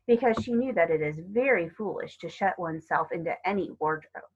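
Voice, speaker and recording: A woman's voice: medium pitch, fairly bright tone, husky. A woman narrating a children's story dramatically, dropping into whispers for emphasis, on a slightly dull recording. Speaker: storybook reader.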